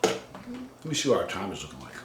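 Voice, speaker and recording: A man casually hosting a livestream and reading out viewer comments, halting and conversational, trailing off quietly at the ends of phrases. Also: sits low, big pitch swings, background noise. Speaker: host of a hot sauce challenge channel. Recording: roomy, echoey room sound.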